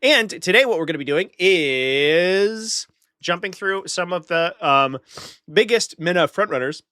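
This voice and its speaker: A man's voice: high voice, expressive, a little slow, pushed and bright, smooth, no echo. A man with a stuffy nose from a flu, hosting a live stream in casual chat, talking straight to his viewers with dry sarcastic asides.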